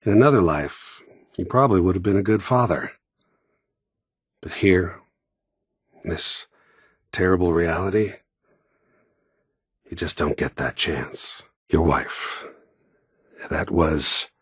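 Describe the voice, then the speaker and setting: A man voicing a cold, world-weary mercenary killer in a video game, gravelly and husky, with a sardonic, deliberate, taunting manner. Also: sits low, no room sound, studio quality, heavily acted.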